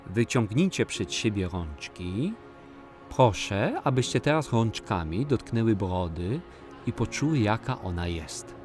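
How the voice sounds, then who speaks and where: A man's voice: fairly low pitch, sing-song, unhurried, a little mellow, faint music behind. An actor playing a kindly, patient man who explains and encourages as a guide in a children's audio play. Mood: encouraging, instructive.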